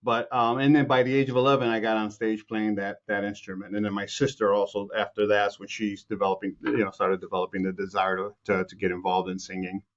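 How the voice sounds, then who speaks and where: A man's voice: on the low side, flat delivery, fast, hardly any pauses, highs cut off. A man from Chicago, a composer guest, answering interview questions in a rambling way with many restarts.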